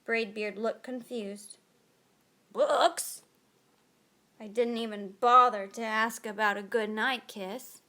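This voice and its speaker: A woman reading a picture book aloud to children in a dramatic, expressive storytelling style. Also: on the high side, measured pace, few pauses.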